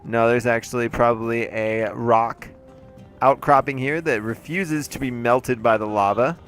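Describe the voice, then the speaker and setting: Male let's play host, mid-pitched, congested and playful, over soft game music. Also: measured pace. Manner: playful, chatty, jokey asides. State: congested, throat a little off. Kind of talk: casual gameplay commentary.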